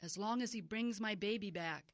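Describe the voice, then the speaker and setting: A woman's voice: mid-range voice, normal speed, dry recording, studio quality, lightly acted. A woman playing an anxious, indignant mother of a missing child in an audio drama, distressed and demanding. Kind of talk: modern audio drama acting.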